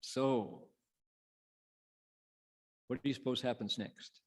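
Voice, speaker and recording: A man reciting and teaching Buddhist scripture as a monk, in a measured, dramatic storytelling manner with pauses. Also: medium pitch, fairly even intonation, breathy edge. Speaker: Buddhist monk, sutra lecturer. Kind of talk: sutra recitation and dharma lecture.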